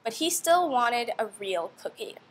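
A young woman with a high voice reads a children's story aloud. Her intonation swings widely as she tells it and puts questions to young listeners. A faint but steady noise sits behind her. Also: measured pace. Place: indoor room.

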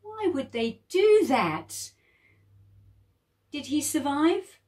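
A woman reading a story voices an excited young girl on a boat, high-pitched and eager. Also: drawn-out words, exaggerated voice.

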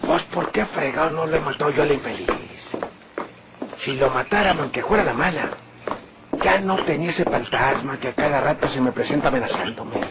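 An actor playing a nervous, scheming northern Mexican outlaw who grumbles to himself in colloquial exclamations in an old radio drama. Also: male voice, mid-range voice, expressive, moderate pace, lightly acted. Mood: anxious, plotting. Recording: muffled, very noisy old radio recording.

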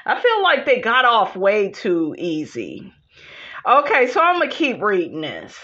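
A woman with an American accent hosting a gossip podcast, talking casually in a sassy, slangy way with animated asides, on muffled lo-fi audio. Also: medium pitch, unhurried. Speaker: podcast host. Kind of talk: casual gossip commentary, reading posts aloud.

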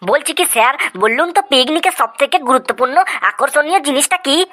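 Woman as keen, talkative cartoon pupil with a high, bright voice, full of questions. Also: animated, fairly quick. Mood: polite, inquisitive. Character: eager, talkative schoolkid in a cartoon. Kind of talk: comedy cartoon dubbing.

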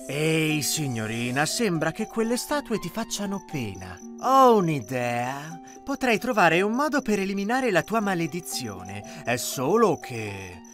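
A man's voice: fairly high pitch, huge pitch swings, unhurried, few pauses, a bit bright, exaggerated voice. A man voicing a scheming male god of the underworld in a cartoon, talking in a wheedling, conniving way. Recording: music behind voice.

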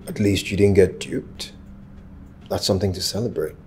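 An actor with a Nigerian accent playing a loyal, composed assistant and friend in a screen drama. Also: male voice, low-pitched, steady tone, normal speed, darkish tone, slight background noise, lightly acted. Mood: concerned, reassuring.

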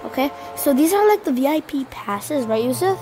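Young teen girl, high voice, mild cold, rambling gameplay chatter over game music. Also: expressive, normal speed, a little mellow. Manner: chatty, rambling, addresses viewers directly. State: slightly sick with a cold. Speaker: gaming channel co-host. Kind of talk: casual gameplay commentary.

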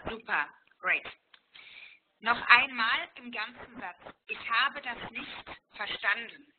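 A woman from Germany leads an online German lesson, speaking in a slow, patient teacher's voice that sits a little high. She repeats each phrase clearly for her student. The sound comes through a thin, phone-like video call with steady background noise. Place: airport, indoors. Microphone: laptop on video call.

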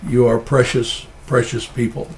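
A middle-aged man, a pastor with a stuffy nose, preaching an earnest, measured sermon and often restarting his phrases. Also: mid-range voice, flat delivery, gravelly, slight background noise.

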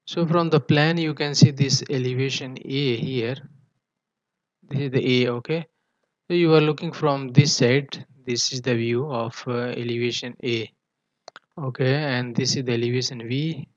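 A man giving a technical tutorial in a halting manner, often punctuating his explanations with a checking "okay". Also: medium pitch, steady tone, dry recording. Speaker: engineering tutor. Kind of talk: tutorial walkthrough of technical drawings. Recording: dull, muffled lo-fi voice track.

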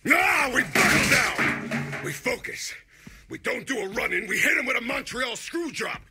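Grandiose furious gang boss, wildly animated, gravelly, game cutscene, noisy background. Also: male voice, extremely high pitch, unhurried, music in the background, full character voice. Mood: furious, bombastic. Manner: pompous, shouting, grandiose. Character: bombastic raging male gang boss in luchador mask. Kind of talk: video game cutscene acting.

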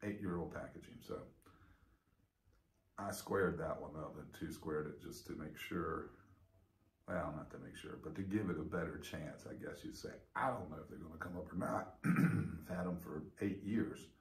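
A man's voice: low voice, normal speed, vocal fry. A middle-aged man chatting casually and rambling with lots of fillers as he gives a garden update. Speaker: gardening vlogger. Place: indoors by a big window with seedlings; yard walk outdoors. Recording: roomy echo, faint noise.

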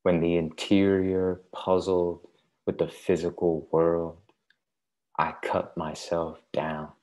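A man's voice: low voice, level tone, slow pace, darkish tone, poor audio. A man in his thirties reading and introducing poems from home on an earbud mic over a video call. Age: 30s. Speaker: poet at a reading. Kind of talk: poetry reading with casual introductions. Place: home living room, indoors. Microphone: wired earbuds on video call.

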